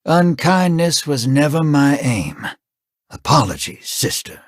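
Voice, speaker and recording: An actor playing an easygoing, streetwise space pirate in a video game, casual and wry. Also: male voice, on the high side, very animated, slow pace, no echo, studio quality.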